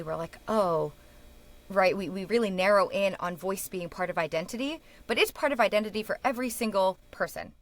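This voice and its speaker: Eager, laughing woman talking shop. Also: medium pitch. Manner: enthusiastic, quick to laugh, restarts mid-sentence. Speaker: guest, speech-language pathologist. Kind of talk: casual interview chat.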